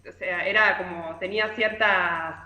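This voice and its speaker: Bright-voiced Argentine woman in a roomy space. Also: fairly low pitch, fairly even intonation, measured pace. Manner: discursive, self-correcting. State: congested with allergy or flu. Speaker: radio literary columnist. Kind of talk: informal literary commentary. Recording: roomy echo.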